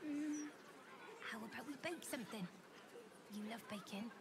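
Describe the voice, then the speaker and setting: An actress voicing a coaxing woman who talks sweetly to a girl, in a soft, cajoling way. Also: on the high side, a little slow, creaky, noisy background.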